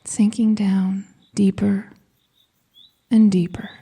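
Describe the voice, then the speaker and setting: A woman with a soft, breathy, whispery voice guiding a scripted meditation in a soothing, gentle manner with deliberate pauses. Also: medium pitch, level tone. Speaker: hypnosis and meditation guide.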